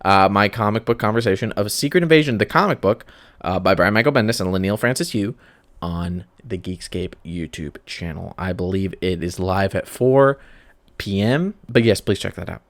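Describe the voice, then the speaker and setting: A man's voice: low voice, lively intonation, normal speed, dry recording, clean studio sound. A man hosting a podcast solo in casual chat, rambling and cutting himself off with self-aware asides. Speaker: podcast host.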